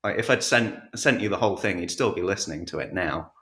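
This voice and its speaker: A man chatting casually as an interview guest, halting and restarting his sentences. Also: sits low, bright, forceful tone, slight background noise. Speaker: podcast guest, audiobook narrator. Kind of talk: casual chat. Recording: roomy, echoing sound.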